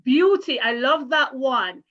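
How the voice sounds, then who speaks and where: Casting: woman, primary teacher, somewhat high voice, interactive storytime delivery, muffled online-call sound. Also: animated, takes it slowly. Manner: encouraging, careful teacherly address with questions. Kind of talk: interactive children's read-aloud. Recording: muffled video call audio.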